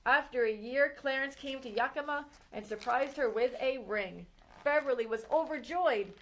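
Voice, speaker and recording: Brief: female librarian, fairly high voice, animated children's story reader. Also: slight background noise, poor audio. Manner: expressive storytime reading, slowing on exciting moments. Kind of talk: children's read-aloud of a picture book biography.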